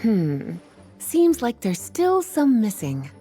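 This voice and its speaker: A young woman librarian in a video game. The voice is mellow and lilting, with lively rises and falls. She explains things in a calm, knowing, wry way over faint music. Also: fairly high pitch, slow, exaggerated voice. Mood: wry, explanatory. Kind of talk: video game character dialogue.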